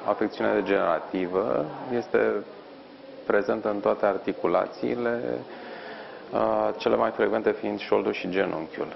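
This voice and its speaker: A middle-aged man, an orthopedic surgeon, answering questions in a live TV interview from a hospital ward, measured and explanatory with frequent pauses. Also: fairly low pitch, fairly even intonation, gravelly, highs cut off, background noise. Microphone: lapel mic.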